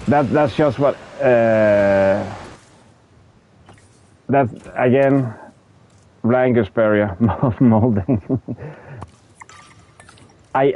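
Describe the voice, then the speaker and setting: A man with a Danish accent talks to his livestream viewers in a dark, mellow voice. He stammers and repeats words while searching for English terms, and now and then swears in frustration. A faint hiss sits under the recording. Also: mid-range voice, lo-fi sound. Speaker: streamer. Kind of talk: casual livestream chat with viewers.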